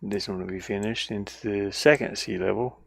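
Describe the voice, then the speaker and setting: A man giving casual, easygoing devlog commentary as an indie game developer, halting and thinking out loud while he works. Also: lowish voice, steady tone, creaky. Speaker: indie game developer showing his own game.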